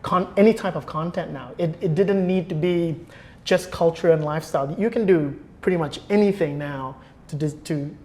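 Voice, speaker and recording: A man answers as a guest in a conversational interview. His voice sits a little high for a man, and he explains things in an articulate way. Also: moderate pace, dark tone.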